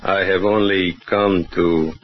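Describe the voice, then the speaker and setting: An actor playing a calm, amused Mexican hired killer who speaks broken English with a Mexican Spanish accent, in 1940s radio acting. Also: male voice, sits low, slow. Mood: calmly menacing. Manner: broken English, chuckling, matter-of-fact menace. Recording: dull old radio broadcast with faint hiss.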